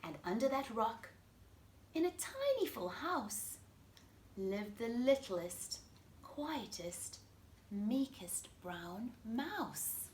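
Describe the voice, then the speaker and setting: South african lady reading a kids book, highish voice, expressive, roomy echo w a bit of noise. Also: very slow. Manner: expressive, addresses children directly. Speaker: children's story reader. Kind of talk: animated picture-book read-aloud. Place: living room indoors. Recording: echoey room with background noise.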